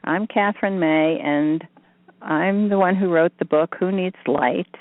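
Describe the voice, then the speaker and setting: A woman hosting a spiritual talk show, introducing herself and her co-host in a relaxed, plain way. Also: fairly low pitch, measured pace, no room sound. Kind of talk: casual chat on a call-in show. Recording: dry tinny phone line.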